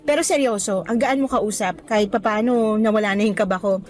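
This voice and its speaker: A woman's voice: medium pitch, a little fast, darkish tone, close to an everyday voice. A woman playing a friendly, eager young novice teacher in a radio drama, with a Filipino accent and a chatty, lightly teasing way of talking. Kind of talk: Tagalog radio drama acting.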